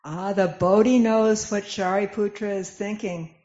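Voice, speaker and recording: A woman's voice: mid-range voice, steady tone, measured pace, mellow. A woman narrating a dramatic reading of scripture from a script. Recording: echoey room, dull lo-fi sound.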